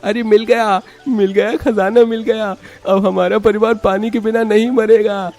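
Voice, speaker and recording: A man voicing an earnest, excitable poor village brother in a cartoon story, emphatic and simple. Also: high-pitched, moderate pace, soft background music, pitched above the performer's own voice. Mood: overjoyed. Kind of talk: Hindi cartoon story acting.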